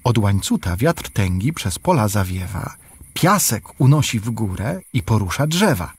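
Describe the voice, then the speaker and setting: Husky, animated village father. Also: lowish voice, normal speed, heavily acted. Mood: playful, explaining. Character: village father, inventive, playful and devout. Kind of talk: rhymed children's radio play acting, with singing.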